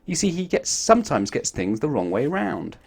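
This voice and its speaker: A man reading a children's storybook aloud in an expressive way, putting questions to his young listeners. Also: on the high side, normal speed, gravelly, husky. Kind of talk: animated children's storybook narration.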